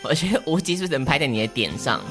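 Low, fast, lively Taiwanese woman co-host. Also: soft background music. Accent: Taiwanese Mandarin. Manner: wry, teasing, mock-earnest. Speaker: podcast co-host. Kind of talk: casual banter.

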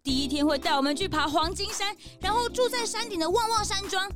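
一位女演員在兒童推理廣播劇中扮演興奮、愛撒嬌央求的年輕小助手，語氣誇張熱切。. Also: female voice, very high voice, fairly quick, over music. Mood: excited, coaxing. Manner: gushing, exclamatory, pleading. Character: excitable young assistant Peanut, eager and coaxing. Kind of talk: children's detective audio drama acting.

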